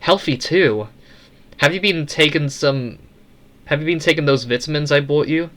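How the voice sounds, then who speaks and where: A man's voice: on the high side, moderate pace, a bit bright, lightly acted. Storybook mother, male reader: caring and approving, chatty everyday delivery. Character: attentive, approving mother of a teenage girl.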